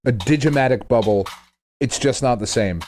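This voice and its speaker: A man's voice: medium pitch, normal speed, darkish tone, slight background noise. A middle-aged man chatting casually as a podcast co-host, irreverent and emphatic, swearing freely as he rants. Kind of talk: casual chat.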